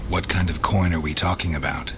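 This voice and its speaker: An actor playing a gruff, wary mercenary monster hunter in a video game, terse and deadpan. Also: male voice, low-pitched, a little fast, noisy background, natural voice. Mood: dry, guarded.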